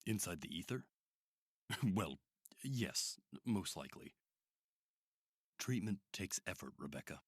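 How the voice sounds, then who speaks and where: A man playing a calm, persuasive therapist in a radio drama, reassuring with a condescending edge. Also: sits low, takes it slowly, pauses often, slightly rough, dry recording, studio quality, heavily acted. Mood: persuasive, paternal. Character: calm, persuasive male therapist at sinister institute. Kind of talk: modern radio drama acting.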